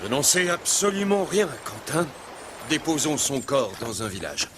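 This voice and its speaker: A man's voice: on the high side, sing-song, moderate pace, a little hiss or hum. A man playing a grave adult mentor in a dubbed adventure cartoon, over a music soundtrack. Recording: echoey cartoon soundtrack under loud music.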